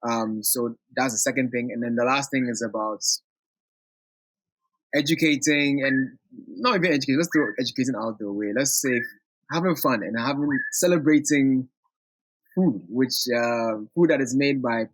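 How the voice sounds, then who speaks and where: A man from Ghana, a guest in an interview, talking in a thoughtful, relaxed way with many pauses. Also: mid-range voice, no echo, low-quality recording. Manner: thoughtful, hesitant with fillers, relaxed. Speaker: guest, food movement director. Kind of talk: casual interview.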